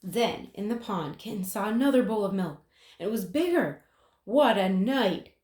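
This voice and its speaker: A woman reading a children's picture book aloud in an expressive way, with dramatic pauses. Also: medium pitch. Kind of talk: children's story read-aloud. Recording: roomy, echoing sound.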